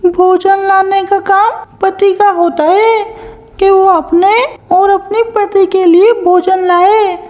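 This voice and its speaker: A very high, piercing cartoon crow wife voiced by a woman. She is sharp-tongued and quarrelsome, scolding defiantly at an unhurried, deliberate pace. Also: fairly even intonation. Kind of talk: Hindi cartoon voice-over.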